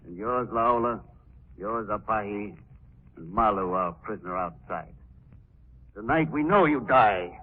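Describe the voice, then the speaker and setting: An actor playing a ruthless, commanding villain with theatrical menace, in 1940s radio drama style. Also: male voice, fairly low pitch, lively intonation, unhurried, pauses often. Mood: cruel, commanding. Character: ruthless villain who rules islanders by fear. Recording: dull 1940s radio recording.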